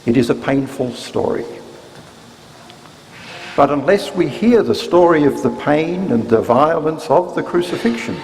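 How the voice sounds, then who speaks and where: A man giving a solemn scripted church introduction with measured, deliberate phrasing. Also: on the high side, lively intonation, darkish tone. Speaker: church reader introducing a service. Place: large church, live reading. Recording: reverberant church hall, faint noise.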